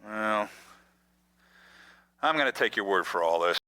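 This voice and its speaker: A steady, no-nonsense cop in a radio mystery. The voice is lowish and hard-edged, recorded close and dry. He talks plainly, like someone giving a report. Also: unhurried, no room sound, low-quality recording. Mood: skeptical. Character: matter-of-fact male police detective, firm and steady. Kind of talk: radio detective drama acting.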